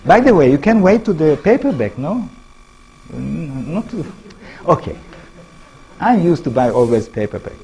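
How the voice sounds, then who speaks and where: A man with an Israeli accent, a native Hebrew speaker, giving a public talk as an author, animated and full of rhetorical questions to the audience. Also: on the high side, normal speed, long pauses, slight background noise, low-quality recording. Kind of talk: public lecture.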